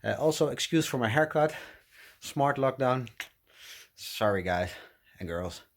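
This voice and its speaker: A middle-aged Dutch man with a Dutch accent, speaking English with many pauses and fillers as he shows his car project in a garage. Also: medium pitch, expressive. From Netherlands. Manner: hesitant, many uhs, apologetic. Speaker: car restoration vlogger. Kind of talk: casual walkaround vlog.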